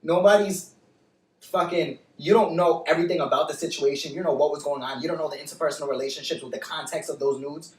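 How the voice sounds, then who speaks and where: A man's voice: fairly high pitch, fairly even intonation, fast talker, roomy sound, far from the mic, low-quality recording. A man who is a guest on a podcast, chatting casually, blunt and sassy, swearing often. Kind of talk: casual chat.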